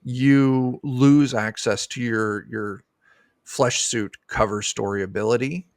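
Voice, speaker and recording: A middle-aged man talks in an informal, rambling and enthusiastic way. His voice has an ordinary male pitch and is recorded dry, as on an indoor video call. He sounds like a designer explaining his game. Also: steady tone, takes it slowly, dry recording. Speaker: game designer, podcast guest. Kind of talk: casual explanatory chat about a tabletop game.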